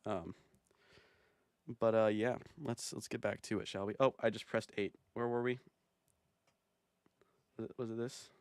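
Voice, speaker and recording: A man's voice: fairly low pitch, fairly even intonation, a little slow, pauses often, a little mellow, clear, resonant tone, dry recording. Rambling, self-amused man with a dry voice. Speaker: video creator. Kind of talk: casual commentary on his own music video.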